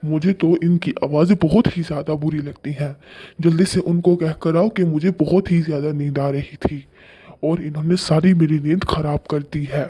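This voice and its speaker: Woman doing a husky, grumpy male crow. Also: low-pitched, fairly even intonation, normal speed, dark tone, voice pushed down. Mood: grumpy, threatening. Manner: complaining, demanding. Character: grumpy, lazy, whining male crow husband. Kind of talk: children's moral story voice acting.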